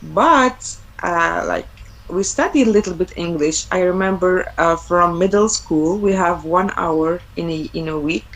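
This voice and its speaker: A woman's voice: fairly low pitch, a little slow, a bit bright, poor audio. A young Algerian woman, a native Arabic speaker, speaking English as a webinar guest over a video call, hesitant, with many fillers and repeated words. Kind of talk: personal talk on studying abroad.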